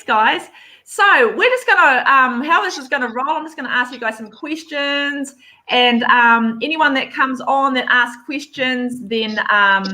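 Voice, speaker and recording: A woman's voice: fairly high pitch, pushed and bright, rough recording. A woman hosting a live online chat over a video call, upbeat and encouraging. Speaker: host.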